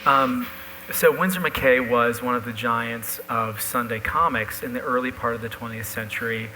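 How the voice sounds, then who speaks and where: A man's voice: medium pitch, normal speed, pushed and bright. A man casually telling an anecdote as a guest in a live on-stage conversation. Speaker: panel guest, illustrator. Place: live talk event stage. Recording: noisy live recording with hum.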